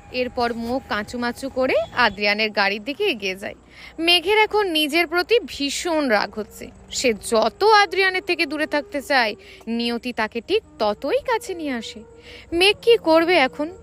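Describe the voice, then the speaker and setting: A woman reading a scripted romantic story as an expressive narrator. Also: sits high.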